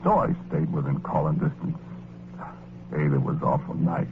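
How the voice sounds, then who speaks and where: A man's voice: very deep voice, wildly swooping pitch, normal speed, heavily acted. An actor playing a gullible, tender-hearted working-class man, narrating an old-time radio drama in plain, slangy, folksy speech. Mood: wistful, chatty. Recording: noisy, tinny vintage radio recording.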